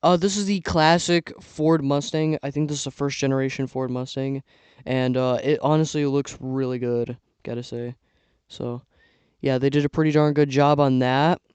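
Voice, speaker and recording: Young male vlogger, rambly casual commentary, clear voice with a slight hoarseness. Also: medium pitch, steady tone, moderate pace, a little mellow, no echo. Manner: rambling, filler-heavy, trailing off. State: losing his voice. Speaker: YouTuber ranking toy sets. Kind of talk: casual tier-list commentary.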